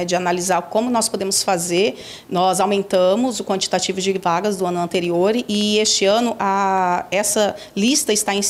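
A woman in her forties, director of a school enrollment office, answering a reporter's questions in a measured, explanatory way with frequent fillers, indoors on a handheld mic. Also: mid-range voice. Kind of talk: news interview answers.

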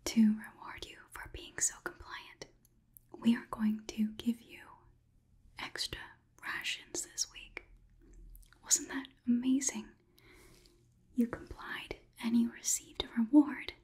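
Female AI overlord, played by a young woman: breathy clinical whisper, mellow, higher-pitched, politely condescending, ASMR roleplay. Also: steady tone. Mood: patronizing, pleased. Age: young adult. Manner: slow, soothing, clinical, patronizing. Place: small indoor room.

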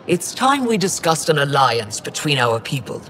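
Diplomatic, dignified alien leader, lightish pitch, game drama, slight background noise. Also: male voice, big pitch swings, moderate pace, fairly bright tone. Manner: formal, diplomatic. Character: stately, welcoming alien governor.

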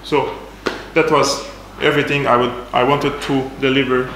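A man's voice: mid-range voice, flat delivery, normal speed, very noisy. A man in his thirties giving a slide talk in a small attic lecture room, speaking with fillers and slightly non-native phrasing. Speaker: presenter. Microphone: far camera, no mic seen. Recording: echoey room from a distance.

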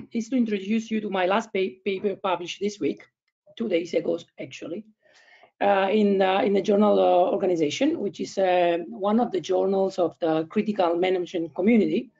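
A man in his thirties with an Italian and Spanish accent, presenting an online academic seminar in a halting way, with many fillers and restarts, over a video call from home. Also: high-pitched, vocal fry, darkish tone. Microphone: laptop webcam, video call. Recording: dull lo-fi video call audio.

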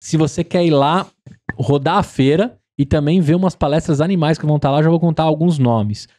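A man's voice: on the high side, brisk, darkish tone. A man in his thirties hosting a business podcast in a studio, talking with enthusiastic, promotional energy. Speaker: podcast host. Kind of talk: casual business podcast chat. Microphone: studio mic on boom arm, headphones.

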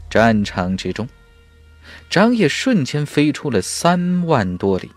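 A man's voice: medium pitch, big pitch swings, slow pace, no room sound, soft background music. A man narrating a fantasy audiobook in a dramatic, scripted storytelling style.

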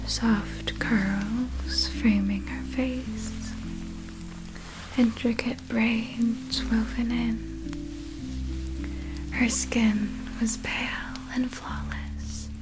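A woman voicing a seductive, predatory vampire in a breathy ASMR whisper, telling a story with slow, lingering, deliberate phrasing. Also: fairly high pitch, rough recording. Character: seductive, predatory vampire thief recounting nocturnal prowls.

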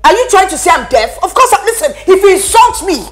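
Fierce, high-voiced mother-in-law. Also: big pitch swings, brisk, soft background music. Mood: combative. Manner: confrontational, taunting rhetorical questions. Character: fierce, overprotective mother-in-law, scolding and defiant. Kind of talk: heated film drama acting.

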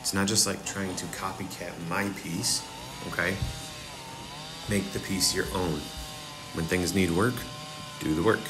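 A man's voice: deep voice, unhurried, pauses often, music in the background. A young man casually explaining chainsaw carving cuts step by step, as an instructor. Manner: relaxed, talks viewers through each cut step by step. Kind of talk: casual tutorial voice-over.

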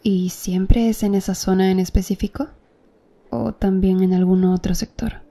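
A woman acting as a calm, attentive female doctor in an ASMR roleplay, speaking softly and close to the listener. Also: mid-range voice, moderate pace, close to an everyday voice. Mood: gentle. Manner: soft, close-up ASMR speech, gently addressing the listener.

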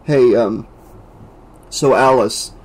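A reader voicing an easygoing, skeptical teenage boy who talks in halting bits. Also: medium pitch, poor audio. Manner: hesitant, halting.

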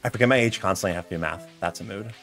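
A man's voice: sits low, racing. A young man from the United States chatting on a live stream while gaming, telling stories and reading chat aloud from a small home room. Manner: chatty, animated storytelling, reads chat aloud. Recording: faint game music behind.

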